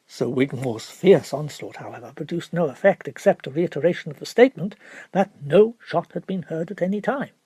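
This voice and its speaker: A man reading literary narration for an audiobook in a formal, expressive storytelling manner. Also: fairly high pitch, brisk, husky, no room sound.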